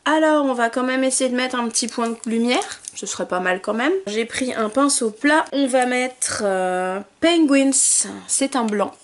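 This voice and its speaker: Woman, beauty vlogger, bubbly tutorial chat, fairly high voice, soft trailing asides. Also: lively intonation, normal speed, hardly any pauses.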